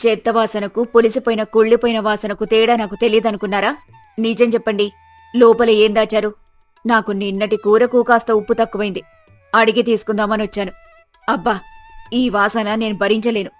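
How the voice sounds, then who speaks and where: A woman's voice: fairly high pitch, level tone, fairly quick, faint music behind, lightly acted. A woman voicing a nosy, blunt village neighbour in a moral story, probing and scolding in disgust. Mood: suspicious.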